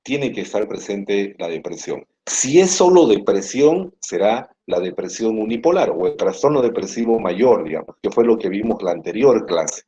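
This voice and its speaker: Low-voiced Peruvian lecturer, muffled. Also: male voice, animated, normal speed, hardly any pauses, warm tone, slightly rough. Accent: Peruvian Spanish. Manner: explanatory, frequent tag questions. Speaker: psychiatry lecturer. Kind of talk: university lecture. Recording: muffled lo-fi classroom recording.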